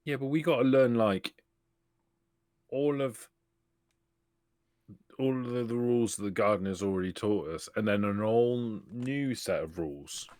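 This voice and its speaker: A man's voice: mid-range voice, a little slow, long pauses, a little mellow, no room sound, clean studio sound. A man chatting bluntly and grumbling a little as a player in a tabletop roleplaying game. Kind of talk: casual in-character game talk.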